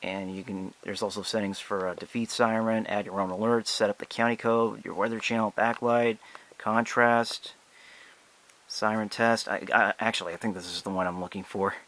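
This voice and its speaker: A young man giving a casual, unscripted product demonstration in a halting, hesitant, apologetic way. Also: on the low side, steady tone, a bit bright. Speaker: amateur product reviewer.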